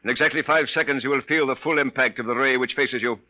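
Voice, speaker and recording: A man playing a cold, formal Martian invader with measured, menacing politeness in 1950s radio drama style. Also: medium pitch, steady tone, natural voice. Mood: calm, deadly. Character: cold, courteous male Martian invader and interrogator. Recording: muffled vintage 1950s radio recording.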